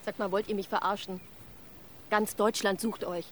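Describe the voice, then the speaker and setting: An actress playing a young woman in a hacker group who is hiding from the police, with urgent, sharp questions. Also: mid-range voice, steady tone, moderate pace, a little hiss or hum, close to an everyday voice. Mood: tense. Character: young woman on the run, anxious. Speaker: Sophia, a member of a hacker group. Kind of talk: radio drama acting.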